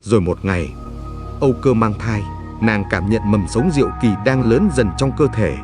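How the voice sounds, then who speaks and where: Low-voiced man narrating a legend over music. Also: normal speed. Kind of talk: scripted storytelling narration of a legend.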